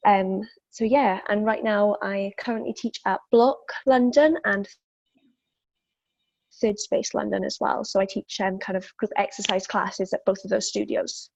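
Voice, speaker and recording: A woman's voice: mid-range voice, steady tone, unhurried, creaky, darkish tone, dry recording. Woman, Welsh accent, young adult, fitness instructor, hesitant fillers, muffled lo-fi sound. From South Wales. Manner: thoughtful, many fillers and restarts. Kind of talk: interview answers.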